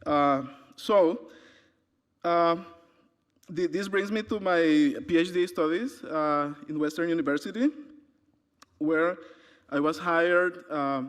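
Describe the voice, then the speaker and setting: A man's voice: on the high side, slow pace, long pauses, a bit bright, smooth. Man from Honduras, hesitant presenter. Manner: hesitant, frequent "uh" fillers. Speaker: conference presenter. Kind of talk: academic conference talk. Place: conference hall. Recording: long hall reverb.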